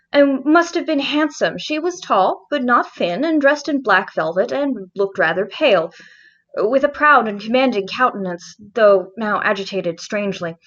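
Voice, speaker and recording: A woman with a high voice narrates gothic fiction. Her reading is deliberate and expressive, with a literary turn, on a slightly dull, lo-fi recording. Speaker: audiobook narrator.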